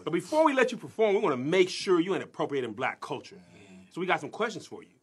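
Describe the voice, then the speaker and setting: A man's voice: fairly high pitch, very animated, quick pace, breathy edge. An American man in comic group banter, questioning a guest in a slangy, mock-stern way. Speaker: comedian questioning a guest in a sketch.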